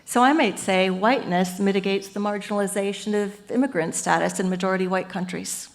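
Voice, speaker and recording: A woman professor giving an academic lecture at a symposium, lively and earnest, explaining ideas through everyday metaphors. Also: medium pitch, fairly quick. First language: English. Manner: lively and earnest, with frequent "you know" and "um".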